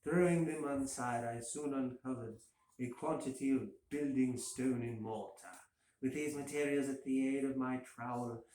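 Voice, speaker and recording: A man's voice: medium pitch, a little mellow. Man reading gothic horror in echoey room. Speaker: amateur story reader. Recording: roomy echo, faint hiss.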